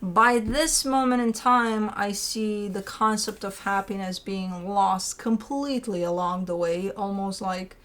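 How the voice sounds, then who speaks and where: A woman with a mid-pitched voice reads tarot cards as host. She talks conversationally and loosely, leaning on key words. She often repeats short phrases and poses rhetorical questions. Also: measured pace, hardly any pauses, fairly bright tone. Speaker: tarot reader hosting her own show. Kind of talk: informal, rambling tarot card reading.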